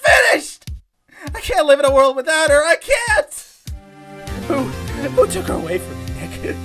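A man's voice: very high voice, huge pitch swings, a little slow, over music, full character voice. An actor playing a melodramatic, despairing young man accused of murder in a fan-dubbed courtroom game, wailing and whiny. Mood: tearful wailing. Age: young adult.

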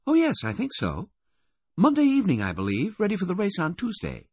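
A man voicing a prim, brisk and cheerful older woman relaying messages in an audiobook. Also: sits high, wildly swooping pitch, darkish tone, slightly rough, lo-fi sound, pitched above the performer's own voice, heavily acted. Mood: prim, chatty.

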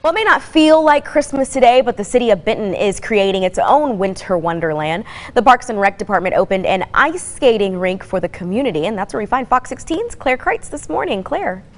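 A woman's voice: mid-range voice, expressive, a little fast, hardly any pauses, long reverb tail. A woman news anchor reading a polished scripted lead-in and tossing to a reporter.

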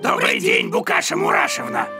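A performer voicing a young male caterpillar in a children's cartoon, gravelly and creaky, with exaggerated courtesy and some grumbling. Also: normal speed, close to an everyday voice. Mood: mannered politeness. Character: young male caterpillar, grumpy but trying to be polite. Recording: background music throughout.

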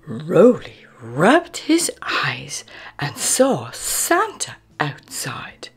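Very slow, breathy woman storyteller. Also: low-pitched, huge pitch swings. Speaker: storybook narrator and channel host. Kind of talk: expressive read-aloud for children.

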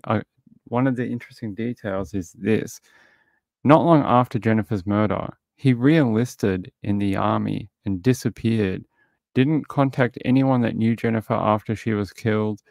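A man's voice: fairly low pitch, gravelly, a little mellow, no echo, clean studio sound. A middle-aged man hosting a casual livestream, greeting viewers by name and recapping a true crime episode. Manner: chatty, greets viewers, recaps and reads articles aloud. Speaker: livestream host reviewing a true crime show.

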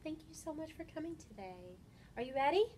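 High-pitched woman hosting children's storytime. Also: animated, a little mellow, a little hiss or hum. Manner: slow, encouraging, child-directed. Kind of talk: singing songs and reading aloud to young children. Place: indoor room by large windows.

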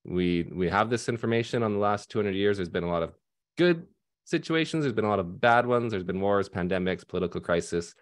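A deep-voiced man in his thirties speaks over a headset mic on a video call from a room at home. He explains casually and loosely, full of fillers and restarts. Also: expressive, fairly quick. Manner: conversational with many fillers and restarts. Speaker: financial advisor panelist. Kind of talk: casual panel discussion.

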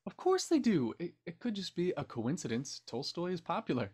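A man's voice: high voice, wildly swooping pitch, a little fast, a little mellow, husky, heavily acted. A young man playing a kind, hesitant scriptwriter in an audio drama. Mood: reassuring, awkward. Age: young adult. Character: kind, awkward, reassuring young male scriptwriter.

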